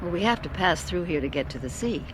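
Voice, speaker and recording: An actress playing a reflective forty-one-year-old American wife and mother, narrating and speaking in a radio drama with measured delivery. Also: fairly low pitch, lively intonation, background noise, natural voice. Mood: brooding, reflective.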